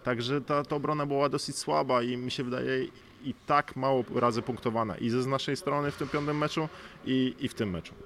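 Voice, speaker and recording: A man's voice: mid-range voice, steady tone, fairly quick, fairly bright tone. A man, explaining things thoughtfully as an athlete being interviewed, often adding small tag questions. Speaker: professional basketball player, interview guest. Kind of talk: analytical sports interview talk. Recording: clearly noisy background ambience.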